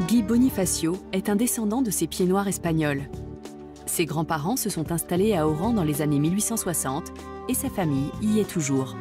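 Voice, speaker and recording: A woman with a lowish voice reads documentary narration in a calm, measured way. Music plays clearly underneath her voice-over.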